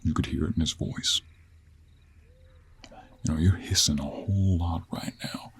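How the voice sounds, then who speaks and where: Guy playing a gruff stubborn cowboy monster hunter, real deep raspy voice, western us drawl, fantasy roleplay audio. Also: fairly even intonation, measured pace, in a whisper, full character voice. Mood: stern, exasperated. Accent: American Western cowboy. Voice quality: husky, low. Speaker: audio role play performer. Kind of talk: dramatic audio role play.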